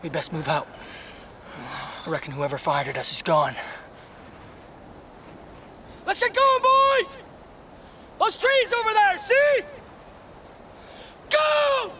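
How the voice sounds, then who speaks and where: An actor playing a brash, bullying young American soldier who swaggers and goads in folksy slang in a radio drama. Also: male voice, very high-pitched, huge pitch swings, takes it slowly, pauses often, sharp tone, slight background noise, exaggerated voice. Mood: urgent.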